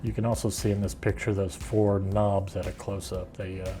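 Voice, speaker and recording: A man's voice: fairly low pitch, flat delivery, moderate pace, mellow, faint music behind. A middle-aged man with an American accent, casually explaining a do-it-yourself project in a plainspoken, unscripted way. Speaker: woodworking video host.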